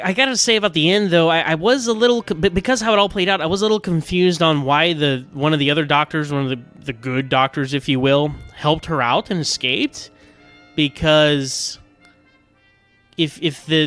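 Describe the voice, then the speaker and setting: Man, crisp bright voice, podcast host, casual rambling TV review, stammering restarts, faint music behind. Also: fairly high pitch. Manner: rambling, animated, stammering repeats of words. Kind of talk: casual TV episode review commentary.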